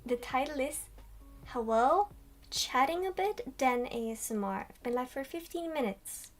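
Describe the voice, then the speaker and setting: A young woman streamer chatting slowly in a soft, quiet voice, rambling with many ums. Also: high-pitched, lively intonation, faint music behind. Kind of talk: soft-spoken livestream chat. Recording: distant from mic.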